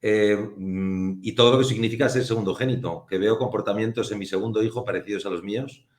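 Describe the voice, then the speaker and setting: A low-pitched man talks as an interview guest, reflective and unhurried. His voice carries a noticeable room echo. He pauses often as he thinks aloud. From Spain. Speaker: guest, film producer. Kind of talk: casual interview conversation.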